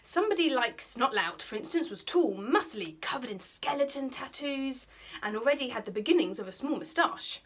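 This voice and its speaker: A British woman with a slightly high voice reads a children's story aloud. She sounds like a primary teacher, expressive and keen to explain, with a slightly husky edge. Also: moderate pace. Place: small bright indoor room by a window.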